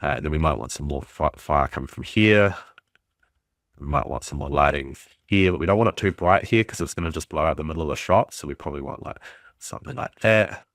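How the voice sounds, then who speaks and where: A man's voice: rumbling low, a little fast, a bit bright, no echo, clean studio sound. A man with a stuffy cold, casually talking through a tutorial, thinking aloud with lots of ums and uhs. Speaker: game developer teaching. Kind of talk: casual screen-recorded tutorial.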